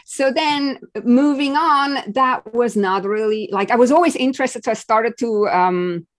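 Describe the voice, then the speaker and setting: Female guest from Switzerland; high-ish voice, halting, chatty delivery. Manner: halting, with restarts and small laughs. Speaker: podcast guest. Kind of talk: casual interview talk.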